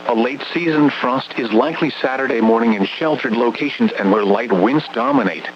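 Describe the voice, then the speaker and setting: A male announcer reading a scripted weather bulletin over the radio in a formal, measured, impersonal way. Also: mid-range voice. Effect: radio broadcast. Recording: muffled, noisy weather radio broadcast with hum.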